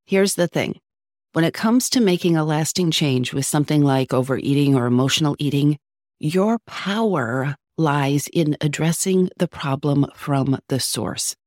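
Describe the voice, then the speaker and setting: A woman's voice: low voice, animated, darkish tone, no echo. Woman with a sore throat, bit scratchy, coachy podcast talk, dry close sound. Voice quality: slightly scratchy. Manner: earnest, coaching, slows down on key points. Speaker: podcast host, psychologist. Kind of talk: solo advice podcast.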